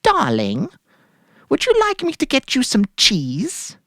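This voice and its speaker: A woman's voice: fairly high pitch, huge pitch swings, takes it slowly, a bit bright, no room sound, studio quality, heavily acted. A woman playing a devoted, anxious housewife who coaxes solicitously in a dramatic story reading. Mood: eager, solicitous. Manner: coaxing, solicitous.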